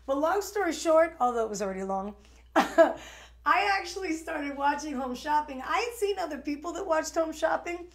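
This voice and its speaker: A woman's voice: high voice, lively intonation, normal speed. East coast lady in her 40s hosting a shopping stream, rambly and chatty, echoey studio. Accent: East Coast American. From New York. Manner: chatty, rambling with fillers and restarts. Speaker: shopping channel host. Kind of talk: live shopping stream chat.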